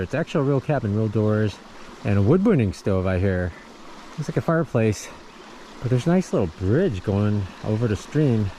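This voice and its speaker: A man's voice: medium pitch, dark tone, a little hiss or hum. A man of fifty, a tired long-distance hiker, chatting casually on a forest trail into his camera and laughing often. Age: 50s. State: tired from hiking. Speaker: thru-hiker vlogger. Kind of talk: casual trail vlog narration.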